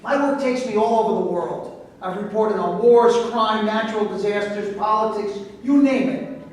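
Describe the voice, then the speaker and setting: A man's voice: sits high, moderate pace, close to an everyday voice. A man reading the part of an earnest, proud reporter in a staged play. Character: earnest, protective male news reporter, proud and persistent. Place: echoey room at a live staged reading. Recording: echoey room, far mic, faint noise.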